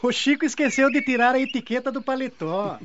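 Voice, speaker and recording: An actor playing a breezy, excitable young man among his brothers in a radio drama. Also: very high-pitched, a little fast. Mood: excited, cheery. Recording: dull lo-fi, faint noise.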